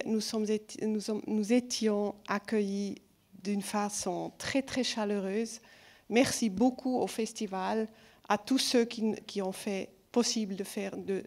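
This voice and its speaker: A woman with a foreign accent, not a native French speaker, giving an award speech as a jury member in a halting manner. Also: mid-range voice, darkish tone. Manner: halting, searching for words. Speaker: festival jury member announcing a prize.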